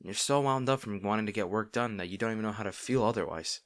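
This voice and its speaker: A man playing a caring boyfriend in an intimate audio roleplay, talking tenderly and with gentle insistence to his partner. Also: on the low side, quick pace, close to an everyday voice. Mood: soft, understanding. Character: caring, gently firm young boyfriend soothing an overworked partner.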